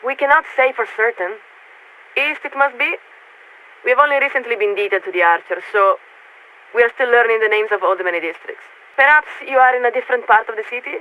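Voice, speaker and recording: Game role: polite, detached female warship officer. High-pitched, formal, measured, hesitant; thin radio transmission with faint hiss. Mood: polite, observant. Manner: formal, measured, hesitant when searching for words. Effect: military radio transmission filter. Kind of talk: video game voice acting over radio. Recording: tinny narrowband radio with hiss.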